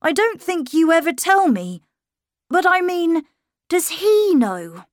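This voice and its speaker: A woman's voice: very high voice, sing-song, takes it slowly, dry recording, clean studio sound, pitched above the performer's own voice, exaggerated voice. A woman voicing a polite, charming young boy who knows he has the upper hand, in an audiobook reading. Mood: polite, insistent. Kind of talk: audiobook character voice.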